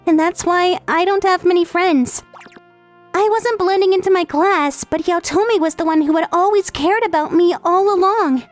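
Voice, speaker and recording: Girl va doing clumsy teen classmate trying to act cool, super high anime dub voice, music behind. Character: clumsy, lovestruck teenage schoolgirl posing as cool. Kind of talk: anime-style dub acting with narrated monologue.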